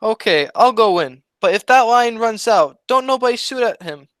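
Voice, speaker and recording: A man's voice: very high-pitched, expressive, normal speed, fairly bright tone, clear, resonant tone. A man playing a cowardly, dim but wisecracking sidekick in a vintage-style comedy radio double act. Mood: reluctant.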